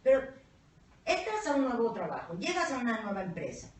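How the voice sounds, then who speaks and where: A woman's voice: a little high, a little fast. Mexican lady, blunt and swears a lot, far off mic, echoey room, faint hiss. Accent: Mexican Spanish. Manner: blunt, profane, animated. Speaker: co-host. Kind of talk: casual panel chat.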